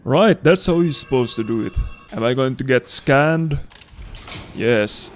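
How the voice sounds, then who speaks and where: Excitable young man commenting on gameplay. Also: medium pitch, measured pace, faint music behind. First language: not English. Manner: excitable, swears, talks to viewers. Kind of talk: casual let's play commentary.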